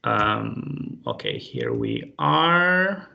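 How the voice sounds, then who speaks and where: A man's voice: on the low side, huge pitch swings, drawn-out words, gravelly. A man leading a live online group language lesson over a video call, patient and friendly, with many fillers. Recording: dull lo-fi call audio.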